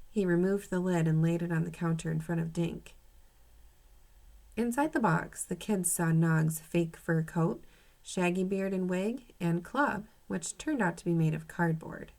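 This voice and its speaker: A woman teacher reading a children's story aloud to young pupils in an animated, expressive way. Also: on the low side, vocal fry. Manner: expressive, acts out dialogue, pauses to comment to pupils.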